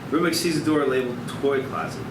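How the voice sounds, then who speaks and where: A man narrates a screenplay's stage directions at a table read. His voice is mid-pitched and sounds distant in a reverberant room, with heavy noise behind it. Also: fast talker. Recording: echoey, very noisy room, off mic.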